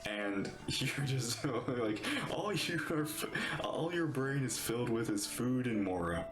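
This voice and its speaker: Animated, echoey game streamer. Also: medium pitch, unhurried. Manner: gushing, rambling, full of "like". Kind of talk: casual live reaction commentary. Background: video game music.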